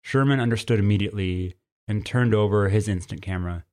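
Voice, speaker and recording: A man's voice: fairly low pitch, brisk, a little mellow. A man reading a story aloud as narrator in a scripted storybook style.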